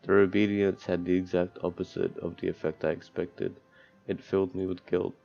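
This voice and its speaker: A man's voice: deep voice, little pitch movement, moderate pace, gravelly. A man streaming a visual novel, reading its text aloud and adding crude, sardonic, profane commentary. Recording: dull lo-fi mic, music behind. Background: game music.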